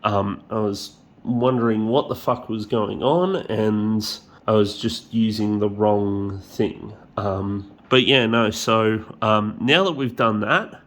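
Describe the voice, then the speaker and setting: A man's voice: fairly low pitch, slow pace, hardly any pauses, fairly bright tone. A man with a stuffy, nasal voice from a cold, casually talking through a repair with lots of ums and the odd swear. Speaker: hobbyist repair vlogger. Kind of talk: casual hands-on tutorial.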